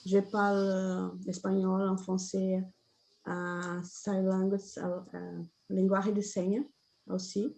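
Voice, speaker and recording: A woman's voice: mid-range voice, flat delivery, takes it slowly, dark tone, rough recording. A woman guest chatting hesitantly in a language she is still learning, using simple phrases. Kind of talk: casual chat in a learned language.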